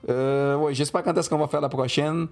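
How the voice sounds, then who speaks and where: A man's voice: a little high, fairly even intonation, brisk, darkish tone. Man chatting as podcast co-host. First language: Portuguese. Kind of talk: casual chat.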